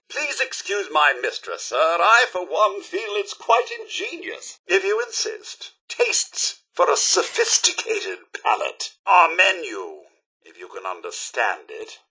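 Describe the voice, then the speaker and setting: A man's voice: a little high, huge pitch swings, a little slow, sharp tone, slightly rough, full character voice. A male actor voicing a prim, fussy robot butler in a video game, with a posh British accent and a theatrical, very polite manner. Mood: polite, concerned. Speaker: companion character in a video game. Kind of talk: video game voice acting, short dialogue lines.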